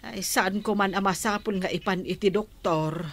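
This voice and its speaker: A woman's voice: medium pitch, normal speed, fairly bright tone, slight background noise, close to an everyday voice. An actress playing a caring, concerned middle-aged mother with a Filipino accent, speaking expressively in a radio drama.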